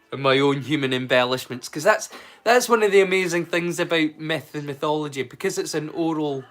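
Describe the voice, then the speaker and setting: A man's voice: fairly high pitch, fairly bright tone. A Scottish man chatting casually on a live stream as a storyteller, rambling and swearing freely.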